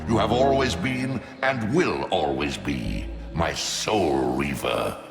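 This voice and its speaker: Huge slow evil elder god, super grand and threatening, echoey with music behind. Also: male voice, extremely low pitch, expressive, creaky, heavily acted. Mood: menacing. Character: ancient male devouring god, imperious and menacing. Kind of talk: theatrical video game voice acting. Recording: echoey cavernous reverb with music.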